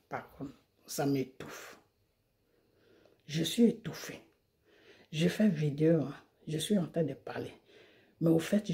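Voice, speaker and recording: Indignant woman with a very dark voice. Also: low-pitched. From Côte d'Ivoire. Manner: indignant, hammering rhetorical questions. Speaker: video creator. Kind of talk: ranting monologue to camera. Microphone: phone or camera mic.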